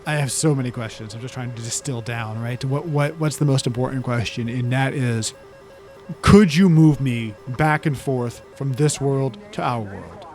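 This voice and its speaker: A man's voice: medium pitch, normal speed, a little mellow, soft background music. A man playing a tabletop game, improvising conversationally and searching for words. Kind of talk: conversational in-character improvised roleplay.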